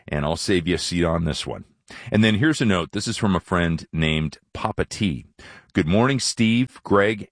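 Guy hosting a bible radio show, deep voice, dry studio sound, reading from a script. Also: no room sound. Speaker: radio host of a Bible teaching program.

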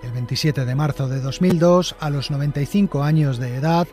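Male documentary narrator, higher pitch, wry storytelling. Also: fairly quick, darkish tone, soft background music.

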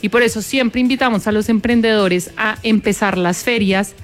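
A woman with a Bogotá Colombian accent, a guest on a talk program, explaining her work in measured, step-by-step answers. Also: mid-range voice, faint music behind. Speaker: guest, corporate sustainability manager.

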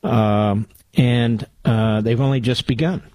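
A man's voice: mid-range voice, fairly even intonation, takes it slowly, warm tone, no room sound. A man with an American accent hosting a political call-in radio show, speaking in an emphatic, blunt manner. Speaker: radio talk show host.

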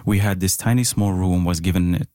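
Deep-voiced Syrian man, halting speech. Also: fairly even intonation, warm tone, no echo, clean studio sound. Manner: hesitant, halting, searching for words. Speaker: visual artist, interview guest. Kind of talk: conversational interview answers.

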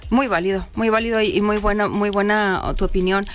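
A woman's voice: medium pitch, steady tone, brisk. A woman hosting a TV talk show, chatting with her guests and often checking in with "right?". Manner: warm affirming, frequent "perfecto" and "¿verdad?". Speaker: TV show host. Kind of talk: casual interview chat. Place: Baja California Sur, Mexico.

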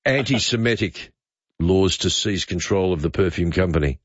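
A man's voice: sits low, normal speed, no echo, lo-fi sound. A man hosting talk radio, bantering off the cuff with dry teasing and pointed questions. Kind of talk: unscripted banter with a caller.

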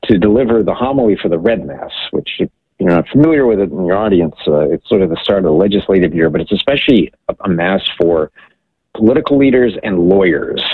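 Deep gravelly man on a phone line. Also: lively intonation, no room sound. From Hawaii. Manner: earnest, measured storytelling. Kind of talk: radio interview by phone. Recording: tinny, dry phone line.

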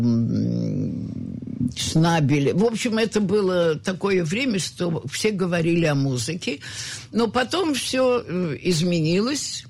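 An older woman from the former Soviet Union looks back on her years as a pianist and teacher. She rambles and halts, filling pauses with drawn-out hesitations. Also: low-pitched, darkish tone. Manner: rambling, halting with many fillers. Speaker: guest, concert pianist. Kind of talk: reminiscing interview talk.

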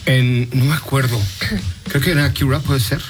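A man's voice: mid-range voice, fairly even intonation, normal speed. A man with a Mexico City accent co-hosting a casual talk show, teasing and joking with his co-hosts. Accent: Mexico City Spanish. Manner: teasing, playful, jokey. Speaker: co-host. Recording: music bed underneath.

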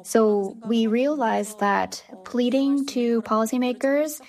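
A woman's voice: on the high side, fairly even intonation, slow pace, darkish tone. A woman interpreting a guest's interview answers, speaking in the first person on their behalf.

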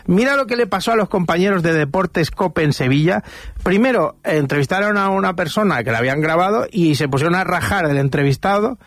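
A man's voice: high-pitched, expressive, a little fast, no room sound, clean studio sound. A man with an accent from Spain hosting a casual comic radio chat, joking and wandering off on tangents. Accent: Spanish from Spain. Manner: chatty, joking, digressive. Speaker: radio show host.

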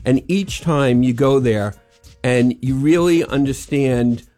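A man's voice: medium pitch, takes it slowly, warm tone, faint music behind. Earnest older New York man. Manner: earnest, rambling, repeats "as far as it". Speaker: sponsor guest, restaurant owner. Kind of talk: radio interview.

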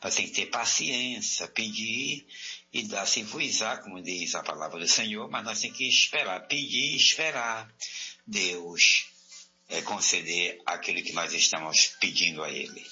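A man from Salvador, a pastor calling a radio show and answering slowly and deliberately like a preacher. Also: on the low side, sharp tone, muffled recording, soft background music. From Salvador, Bahia, Brazil. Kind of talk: call-in testimony.

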